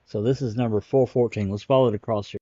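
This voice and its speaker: A man's voice: fairly low pitch, fairly even intonation, fast, warm tone, no room sound, dull audio. A man hosting a casual commentary stream, thinking aloud as he rambles through his material. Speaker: live stream host. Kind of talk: casual commentary on case records.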